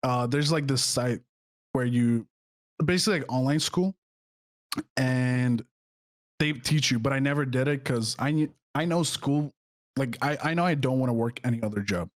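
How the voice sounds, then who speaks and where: A man's voice: medium pitch, moderate pace, no echo, studio quality. Young Czech-born man, hesitant chat. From Czech Republic. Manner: hesitant, many fillers and restarts. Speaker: guest streamer. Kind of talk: casual podcast chat.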